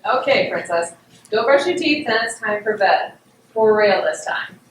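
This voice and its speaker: A woman's voice: mid-range voice, expressive, moderate pace, lightly acted. A woman playing a worried, protective mother in a scripted family drama. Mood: firm. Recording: roomy, distant, noisy room sound.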